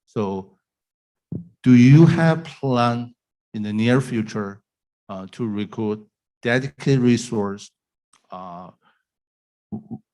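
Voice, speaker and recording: A man at a community forum asking questions haltingly, searching for words with many fillers. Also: mid-range voice, warm tone, low-quality recording.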